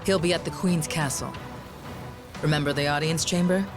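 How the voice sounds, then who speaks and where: A confident, brash pirate strategist from a video game cutscene, played by a male actor. His voice sits a little high for a man and he talks like someone in command, with music playing behind him. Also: steady tone, normal speed, natural voice. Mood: steady, resolute.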